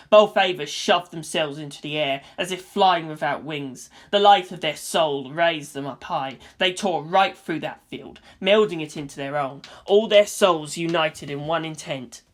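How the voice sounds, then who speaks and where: A woman reading scripted story narration in a dramatic style. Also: low voice.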